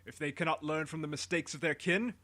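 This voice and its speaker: A man voicing a cruel, proud tyrant king who speaks in a great booming voice. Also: fairly even intonation, fairly quick, sharp tone. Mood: commanding. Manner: booming, commanding.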